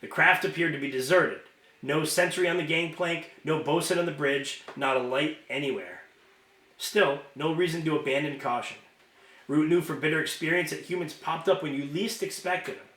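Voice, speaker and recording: A man reading a novel aloud as an amateur narrator, expressive and informal, sometimes stumbling and correcting himself. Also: fairly high pitch, moderate pace. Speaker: amateur audiobook reader. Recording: roomy, echoing home recording.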